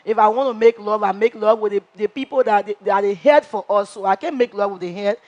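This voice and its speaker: A woman playing a brash reality-show contestant who tells her story in an animated way and acts out what others said. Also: mid-range voice, fairly quick, dull audio, natural voice.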